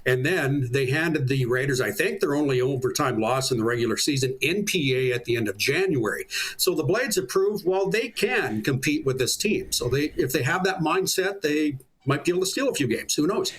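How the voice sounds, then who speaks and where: A man's voice: on the high side, expressive, moderate pace, breathy edge. A middle-aged Canadian man from small-town Saskatchewan, a public address announcer, chatting casually and reminiscing in an interview in a folksy way.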